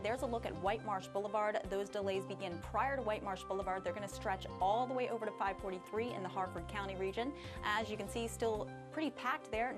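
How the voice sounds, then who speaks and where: Female traffic reporter over a music bed. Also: mid-range voice. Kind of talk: live broadcast traffic report.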